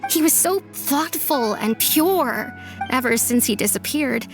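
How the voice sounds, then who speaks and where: Young female villager, high voice, soft, wistful, earnest, game dialogue, light music behind. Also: lively intonation, unhurried. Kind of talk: video game character dialogue.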